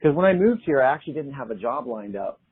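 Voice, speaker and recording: A man's voice: mid-range voice, sing-song, fast talker, slightly rough. A young man from Pennsylvania, a singer-songwriter guest, chatting in an easygoing way and laughing often. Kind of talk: casual interview chat. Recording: tinny phone-like line.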